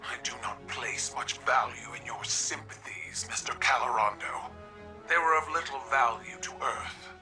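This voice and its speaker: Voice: mid-range voice, normal speed, pushed and bright, recorded from a distance, soft background music, poor audio. A performer playing a proud alien warrior empress, cold and haughty, with formal phrasing in a video game. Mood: cold, disdainful. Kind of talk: video game character acting.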